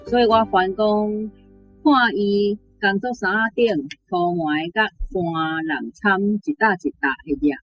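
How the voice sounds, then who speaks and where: A woman's voice: mid-range voice, unhurried, soft background music, rough recording. A woman with a Taiwanese accent commenting as a language teacher, explaining things in a hesitant, think-aloud way. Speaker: Taiwanese Hokkien language teacher giving critique. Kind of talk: spoken commentary on word choice and grammar.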